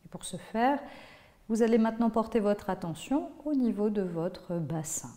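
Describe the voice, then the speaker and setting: A woman teaching yoga nidra, guiding a relaxation in a measured, instructive way with pauses between phrases. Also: medium pitch, lively intonation, dark tone. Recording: long hall-like reverb on voice.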